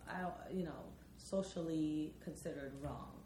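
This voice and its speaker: A young woman with a fairly low voice hosting a chatty podcast and keeping the conversation moving. The room sounds echoey, with a faint hiss underneath. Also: measured pace, dark tone. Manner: bossy, steers the talk, laughs easily. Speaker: podcast host. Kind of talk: casual chat.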